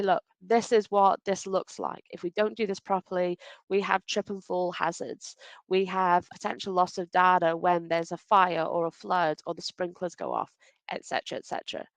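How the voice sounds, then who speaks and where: Woman with a faint Welsh accent, gravelly. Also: medium pitch, dry recording, low-quality recording. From Wales. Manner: conversational, practical, self-correcting. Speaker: webinar presenter. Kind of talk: presenting a webinar with slides. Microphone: video call.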